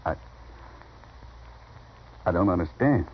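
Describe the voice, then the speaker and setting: A man's voice: low-pitched, lively intonation, slow pace. An actor playing a timid, bluffing man in a radio western, hesitant and placating in manner. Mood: nervous. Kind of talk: old-time radio western acting. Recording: dull, noisy vintage radio recording.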